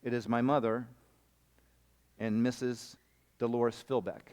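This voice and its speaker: Male missionary speaker, middle pitch, rambling animated sermon storytelling. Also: measured pace, clear, resonant tone. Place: camp meeting hall.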